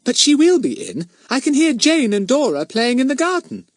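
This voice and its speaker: A man voicing an eager, impulsive little girl in a storybook, excitable and gushing. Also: very high-pitched, normal speed, no echo, clean studio sound, pitched above the performer's own voice. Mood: confident.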